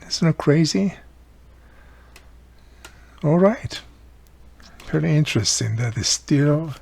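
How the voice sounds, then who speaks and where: Gravelly, husky man explaining repairs. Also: mid-range voice. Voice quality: raspy, gravelly. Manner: explains step by step, thinking aloud. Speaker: repair video host. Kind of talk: casual hands-on tutorial narration.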